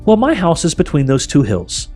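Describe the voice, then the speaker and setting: A man's voice: fairly high pitch, wildly swooping pitch, normal speed, darkish tone, soft background music, pitched above the performer's own voice. A man voicing a sly, coaxing witch queen for a storybook, speaking in a grand, persuasive, commanding way. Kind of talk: audiobook character voice.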